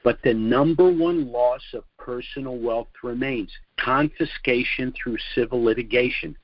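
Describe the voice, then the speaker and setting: An older man giving a formal, deliberate sales presentation as the host of a financial conference call. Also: medium pitch, no echo. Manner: deliberate, formal, measured phrasing. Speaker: financial trust consultant hosting a conference call. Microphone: telephone conference call. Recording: muffled lo-fi conference call audio.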